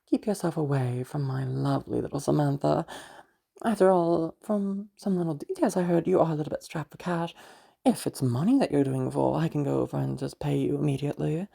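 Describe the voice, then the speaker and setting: A woman voicing a controlling, sanctimonious, menacing mother in an amateur audio roleplay, with a husky, gravelly voice and a condescending, deliberate, taunting manner. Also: deep voice, dark tone, heavily acted. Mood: smug.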